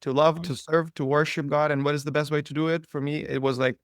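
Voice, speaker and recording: A man from Ukraine with a Ukrainian accent, a priest telling his life story as an interview guest in a relaxed, conversational way with many fillers. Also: a little high, fast talker, clean tone, dry recording, studio quality. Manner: conversational, frequent fillers and restarts.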